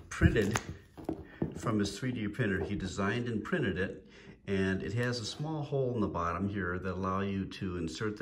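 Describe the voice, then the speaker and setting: A man in his sixties, plain-spoken and folksy, explaining his homemade kayak fishing gear in a casual how-to video at home, with lots of "uh" fillers. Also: lowish voice. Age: 60s. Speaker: DIY kayak angler. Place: home room with wood floor. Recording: echoey room.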